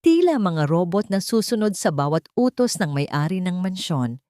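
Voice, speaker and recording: A woman's voice: fairly low pitch, big pitch swings, moderate pace, dark tone, dry recording, studio quality. A woman storyteller giving a scripted, dramatic audiobook narration.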